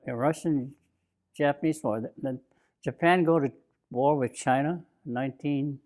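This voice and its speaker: A man in his nineties from Bainbridge Island, Washington, telling his own memories in an oral history interview, slow and reflective, halting with fillers and restarts. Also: medium pitch, darkish tone. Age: 90s. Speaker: interviewee, WWII-era Japanese American. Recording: interview recording.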